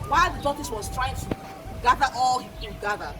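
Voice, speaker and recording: High-voiced Nigerian woman telling children's stories. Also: fairly quick, music in the background. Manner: repeats and affirms in a teacherly way, asking questions. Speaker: children's storyteller and educator. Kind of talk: animated folktale storytelling and teaching to children.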